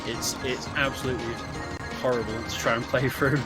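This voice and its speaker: A man giving casual, explanatory speedrun commentary in a somewhat halting way. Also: mid-range voice, steady tone. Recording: game music behind the voice. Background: video game music.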